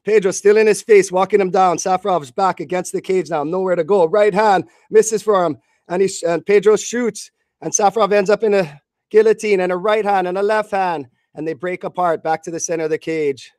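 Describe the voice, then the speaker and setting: A man's voice: sits high, steady tone, brisk, darkish tone, no room sound, studio quality. A man doing live blow-by-blow radio commentary on a fight in short bursts.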